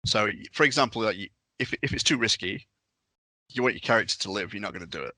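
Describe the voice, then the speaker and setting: A man's voice: fairly low pitch, animated, fast, sharp tone, no echo. A man in a casual podcast discussion, explaining things informally with examples. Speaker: podcast panellist explaining a tabletop game.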